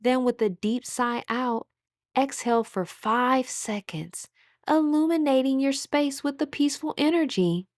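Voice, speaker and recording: Female meditation guide, fairly high voice, calm and soothing, measured phrasing, close dry recording. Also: no room sound.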